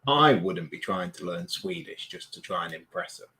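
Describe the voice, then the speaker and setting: A man's voice: sits low, lively intonation, moderate pace, sharp tone. A man co-hosting a livestream, bantering bluntly and teasing his co-host in a bossy way. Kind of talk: casual banter.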